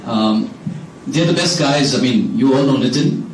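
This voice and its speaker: A man's voice: mid-range voice, measured pace, slight background noise. A man with an Indian accent introducing speakers at a student event, teasing and joking. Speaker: vice president, introducing speakers. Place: lecture hall. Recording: echoey hall, distant mic.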